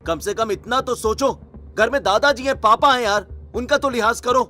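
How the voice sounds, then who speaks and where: A man's voice: extremely high pitch, moderate pace, sharp tone, voice pushed up. A man voicing an exasperated young husband in a cartoon story, scolding and pleading.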